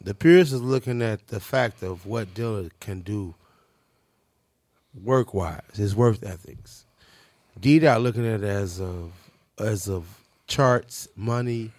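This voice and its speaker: A man's voice: mid-range voice, no room sound. A man in his fifties from Chicago's South Side, co-hosting a podcast in casual chat and repeating his points emphatically. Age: 50s. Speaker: podcast co-host.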